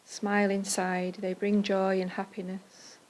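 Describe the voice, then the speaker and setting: A woman leading a guided meditation, speaking gently in unhurried phrases with pauses. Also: medium pitch, completely flat delivery, slight background noise, rough recording. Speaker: meditation leader. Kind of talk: guided Buddhist loving-kindness meditation.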